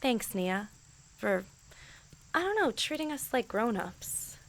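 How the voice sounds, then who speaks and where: A modern teenage girl in an audio drama. Her voice is fairly high with a creaky fry. She sounds skeptical and down-to-earth, asking things in a casual, hesitant way. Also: very animated, slow, full character voice. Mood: skeptical, uneasy. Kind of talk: fantasy audio drama acting.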